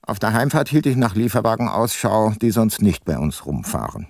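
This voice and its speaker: Low-voiced brooding detective, dry recording. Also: male voice, big pitch swings, vocal fry, a little mellow, dry recording, exaggerated voice. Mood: brooding, reflective. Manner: measured, probing, reflective. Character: brooding, probing male private detective narrating his case. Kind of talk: German radio crime drama with first-person narration.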